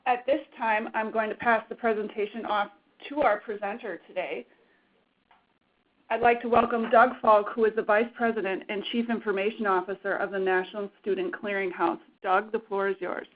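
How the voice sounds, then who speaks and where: A woman moderating a webinar, reading a formal scripted introduction in a brisk manner. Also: mid-range voice, fairly even intonation. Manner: brisk, formal, reads from notes. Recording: tinny phone-line webinar audio.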